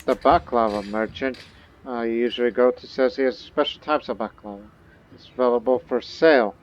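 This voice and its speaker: A man's voice: mid-range voice, normal speed, lo-fi sound, a little hiss or hum. A man thinking aloud and reading game text in casual, rambling gameplay commentary. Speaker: gaming video host.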